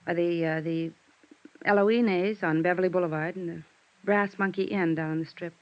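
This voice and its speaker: An actress playing a guarded, strained widow under suspicion in a vintage radio detective drama. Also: female voice, lowish voice, steady tone, normal speed, lightly acted. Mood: softened, cooperative. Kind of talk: 1950s radio drama acting. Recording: dull vintage radio recording.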